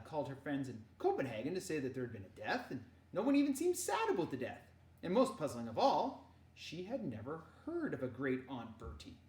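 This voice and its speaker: An animated woman storyteller reads a children's novel aloud. Her pitch moves around freely with the action, and the room adds a clear echo to her voice. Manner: animated, dramatizes dialogue. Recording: roomy echo.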